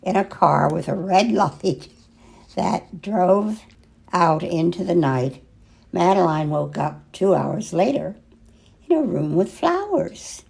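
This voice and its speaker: Gravelly woman reading a storybook slowly. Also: fairly low pitch, animated, a little mellow. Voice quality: husky, gravelly. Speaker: storyteller reading a picture book aloud. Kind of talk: children's storybook read-aloud, slow and expressive.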